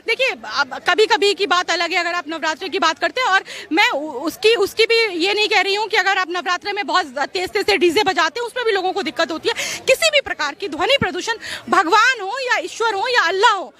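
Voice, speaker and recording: Woman, extremely high pitch, sharp bright tone, insistent, repetitive emphasis, political interview. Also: lively intonation, a little fast, few pauses. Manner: insistent, repeats words for emphasis. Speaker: political spokesperson. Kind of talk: spoken opinion in an interview.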